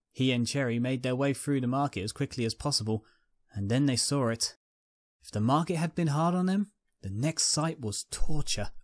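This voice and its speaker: A man's voice: mid-range voice, no room sound, studio quality. A man narrating a scripted fan fiction story.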